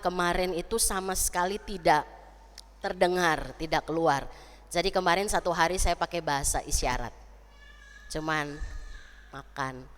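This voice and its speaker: Nasal, congested woman pastor in a church. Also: on the low side. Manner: chatty with the congregation, tags lines with "ya" and "gitu kan". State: nasal and congested from losing her voice. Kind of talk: church service prayer and sermon. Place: church sanctuary. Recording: long church hall reverb.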